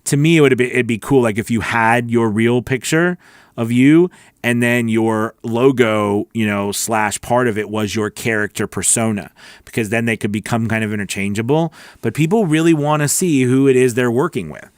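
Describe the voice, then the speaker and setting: A man's voice: mid-range voice, normal speed, dry recording, studio quality. A man hosting a live voice-over class, reading viewer questions aloud and answering them in a chatty, encouraging way with many restarts. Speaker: voice-over coach and livestream host.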